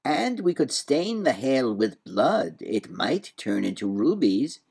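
A man's voice: mid-range voice, big pitch swings, slow pace, vocal fry, a little mellow, husky, pitched above the performer's own voice, heavily acted. A man voicing a whimsical, boastful, riddling old man in a storybook reading, with proud, sing-song, muddled wordplay. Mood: musing, muddled. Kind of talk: storybook character voice in audiobook reading.

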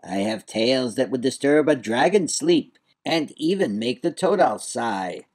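A man voicing a fretful, insistent little old male creature from a storybook, with a high, quavering voice and dramatic delivery. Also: expressive, a little slow, darkish tone. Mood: grandly persuasive.

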